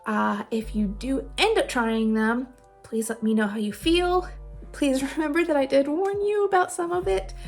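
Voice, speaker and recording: High-pitched giggly woman chatting casually. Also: moderate pace, vocal fry. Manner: giggly, laughs often while talking; informal, rambling, self-deprecating. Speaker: video host giving game recommendations. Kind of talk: casual chatty recommendation vlog. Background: music playing under the voice.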